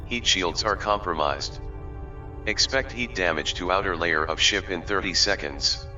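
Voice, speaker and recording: A man doing a deep, flat ship computer. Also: measured pace, highs cut off, faint music behind, exaggerated voice. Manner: flat, clipped announcements. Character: calm male ship computer.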